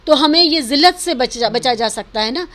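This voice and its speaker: Woman, notably high voice. Interview guest arguing social and political points earnestly, with English phrases mixed in. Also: lively intonation, moderate pace, fairly bright tone. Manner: emphatic, argumentative, mixes in English words and phrases. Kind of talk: earnest opinion interview, spontaneous speech.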